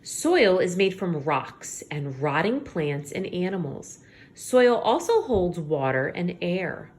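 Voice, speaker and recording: A woman teacher reading aloud to young children, expressive, pausing to explain things simply and check in with her listeners. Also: fairly low pitch. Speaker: elementary school teacher.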